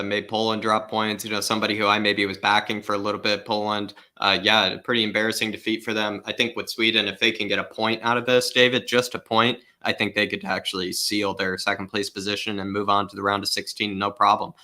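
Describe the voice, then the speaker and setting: Flat, bright-toned man. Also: fairly low pitch. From United States. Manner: agreeable, rambling analysis. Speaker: co-host. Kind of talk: casual football chat.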